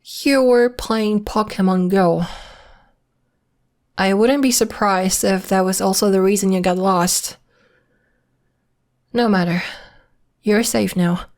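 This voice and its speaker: A woman playing a secretive, polite and protective young werewolf in an ASMR roleplay, speaking in a measured, hesitant, matter-of-fact way. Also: medium pitch, fairly even intonation, darkish tone. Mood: concerned, mildly reproving.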